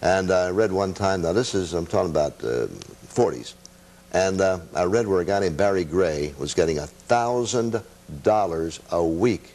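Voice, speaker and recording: An older man with a very low voice talks as a radio veteran and interview guest. He tells anecdotes in a halting, modest, self-deprecating manner, casually looking back. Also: darkish tone. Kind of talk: casual chat, reminiscing.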